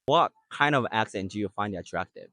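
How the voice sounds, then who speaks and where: A man's voice: on the low side, lively intonation, a little fast, pushed and bright, dry recording, studio quality. A man interviewing strangers in simple, casual English, with many short agreeing hums. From Japan. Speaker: street interviewer.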